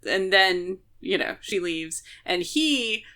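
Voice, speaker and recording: Woman, podcast co-host, medium pitch, bright crisp-edged tone, casual book chat. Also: expressive, slow pace. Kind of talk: casual book discussion, explaining plot.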